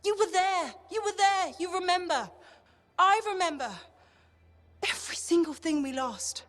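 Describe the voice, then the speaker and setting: A woman's voice: very high voice, normal speed, a bit bright. An actress playing a haunted, wistful woman speaking earnestly, in a game cutscene. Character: haunted woman who once heard a mystic song, wistful. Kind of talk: video game cutscene acting.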